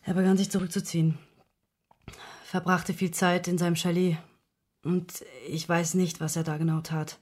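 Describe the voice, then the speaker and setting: An actress in a radio play playing a distressed woman who confides intimate matters in a halting, emotionally wounded way. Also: lowish voice, flat delivery, a little mellow, close to an everyday voice. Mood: confiding, troubled. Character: distressed woman confessing her secret love affair to investigator.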